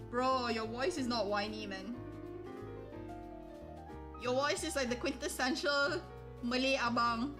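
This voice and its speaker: A woman livestreaming and chatting casually with her viewers, rambling with lots of fillers and poking fun at herself. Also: high-pitched. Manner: rambling, lots of likes and ums, self-deprecating. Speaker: livestreamer reading chat. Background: soft background music.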